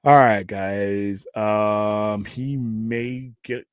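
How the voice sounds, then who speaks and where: An American man with a gruff voice hosts a casual livestream, talking in a rambling, off-the-cuff way and swearing casually. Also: lowish voice, fairly even intonation, very slow, no echo, clean studio sound. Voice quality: gruff, self-described Cookie Monster voice. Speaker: host of a pop culture livestream show. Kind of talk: casual livestream chat, question and answer.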